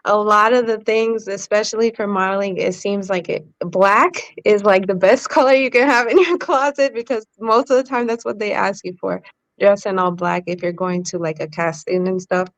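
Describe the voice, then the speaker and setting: A woman's voice: medium pitch, moderate pace, no echo. A woman co-hosting a podcast, talking in a chatty way with fillers like "um" and "like". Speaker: podcast co-host. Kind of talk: casual podcast chat.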